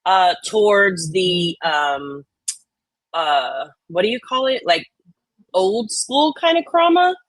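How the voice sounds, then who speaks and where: A woman's voice: lowish voice, big pitch swings, slow, slight background noise. A woman hosting a livestream with casual chat, joking and swearing in an informal way. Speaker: livestream host.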